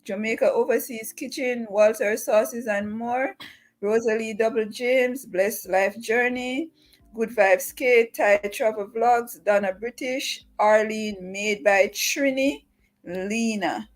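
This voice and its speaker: A woman's voice: a little high, slow, soft background music. A woman hosting a livestream, welcoming a guest and making announcements to viewers. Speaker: livestream host.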